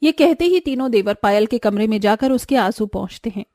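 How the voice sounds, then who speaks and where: A woman's voice: fairly high pitch, expressive, a little fast, a little mellow, no room sound, clean studio sound. A woman reading scripted narration for a cartoon family story.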